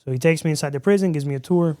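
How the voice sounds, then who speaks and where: A man with a smooth, clear voice, a touch higher than most men, tells his story as a podcast guest and acts out the lines he quotes. He is from Miami. The sound is dry and close, with no room echo. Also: racing. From Miami, Florida. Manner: animated storyteller who acts out quoted dialogue. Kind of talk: storytelling in a casual interview.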